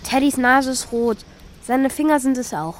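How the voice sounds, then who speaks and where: A woman's voice: high-pitched, normal speed, a little hiss or hum, close to an everyday voice. A woman playing an eager, thoughtful, kind-hearted schoolchild who acts and narrates in a children's radio play. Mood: thoughtful narration.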